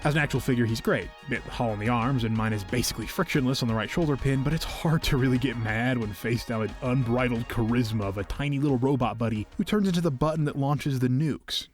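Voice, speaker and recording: A man narrating an enthusiastic scripted review as a video host, with a playful, whimsical and expressive delivery. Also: mid-range voice, fast, hardly any pauses. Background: background music.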